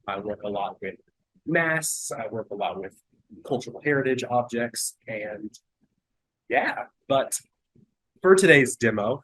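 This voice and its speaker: A young man talks viewers through a collage demo in a relaxed, rambling way, his voice nasal from a cold and a bit husky. The sound is dry and close, with no room around it. His pitch sits in an ordinary middle range. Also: dry recording, poor audio. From North Carolina. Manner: relaxed, chatty, with many fillers and restarts. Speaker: mixed-media artist giving a demo. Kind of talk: casual live-stream art demonstration. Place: home art studio, indoors. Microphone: earbuds.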